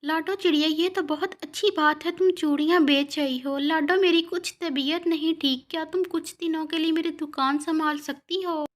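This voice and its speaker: A woman voicing a kind, hardworking female bird who sells bangles in a cartoon story, speaking gently. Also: high-pitched, fairly even intonation, moderate pace, few pauses, lightly acted. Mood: friendly. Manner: gentle, pleading.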